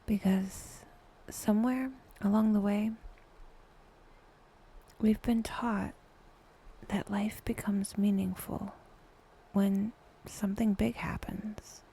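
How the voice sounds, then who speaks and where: A woman guiding a meditation in a soft, breathy, often near-whispered voice, speaking in short phrases with long pauses. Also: mid-range voice, steady tone. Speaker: meditation guide, podcast host. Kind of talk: guided meditation, soft slow scripted narration.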